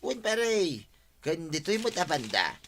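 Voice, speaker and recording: A man's voice: on the high side, sing-song, normal speed, a bit bright, slight background noise. A man with an Ilocano accent playing an eager, tattling village assistant in a radio comedy drama. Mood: gossipy, eager.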